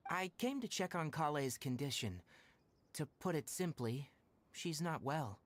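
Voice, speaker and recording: A man voicing a composed, frank, protective young forest ranger in an anime video game, speaking in a measured, articulate way. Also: on the high side, expressive. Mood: frank, calm. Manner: measured, articulate, matter-of-fact.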